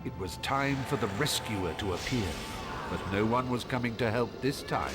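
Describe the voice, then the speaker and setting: A man's voice: medium pitch, normal speed. A man narrating a scripted fantasy story dramatically for a video game. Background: music.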